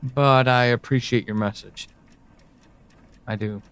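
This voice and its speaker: A man playing an anxious, pleading character in an audio drama monologue, speaking earnestly and haltingly. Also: mid-range voice, fairly even intonation, fairly bright tone, clear, resonant tone. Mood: hurt, hesitant. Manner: halting, earnest, with pauses and emphatic swings. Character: anxious, pleading man reaching out to a lost loved one.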